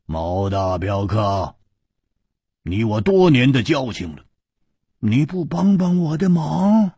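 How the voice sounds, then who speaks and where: A man voicing a relaxed, grinning swordsman who teases the others. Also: mid-range voice, unhurried, slightly rough, no echo, clean studio sound, heavily acted. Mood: teasing. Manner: teasing, relaxed. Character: easygoing grinning male swordsman.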